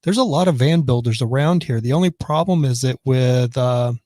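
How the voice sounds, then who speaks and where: A man talks off the cuff on his live stream, his voice a little raspy from choking earlier. He rambles, circles back and answers viewers as he goes, at a middling pitch. The sound is close and dry, with no room around it. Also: normal speed, darkish tone, no echo. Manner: rambling and chatty, many restarts. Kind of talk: casual live stream chat reading comments. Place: his office.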